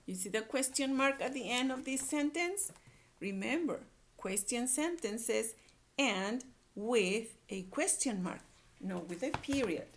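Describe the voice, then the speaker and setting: Slow, animated woman teaching young readers. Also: fairly high pitch, a little hiss or hum. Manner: repeats words and phrases, coaxing listeners with questions. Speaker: early-grade reading teacher. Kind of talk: read-aloud lesson for young children.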